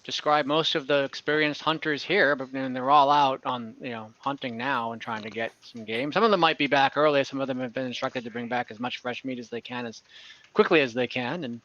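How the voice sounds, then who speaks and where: A man's voice: medium pitch, steady tone, brisk, pushed and bright, dry recording, close to an everyday voice. A man voicing a friendly male tavern bartender, talking in an easygoing, rambling way over slightly muffled online audio. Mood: casual. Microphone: video call mic.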